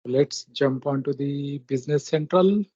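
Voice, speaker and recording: A man with a mellow voice presents a software walkthrough at a slow pace. He goes step by step in short, halting phrases. The recording is dry and close. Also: medium pitch, dry recording. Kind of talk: step-by-step screen demonstration narration. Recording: dull, lo-fi close microphone recording.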